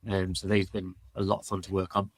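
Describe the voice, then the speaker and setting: A man with a fairly low voice chats as a podcast guest. He is hesitant and halting, and the sound is dry with hardly any room. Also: monotone, husky, no echo. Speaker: podcast guest, audio mixing engineer. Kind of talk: casual chat.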